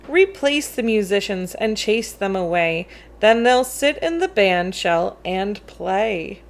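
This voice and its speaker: Slow-paced woman reading a children's story. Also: medium pitch. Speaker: read-aloud storyteller. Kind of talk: expressive children's picture book read-aloud in verse.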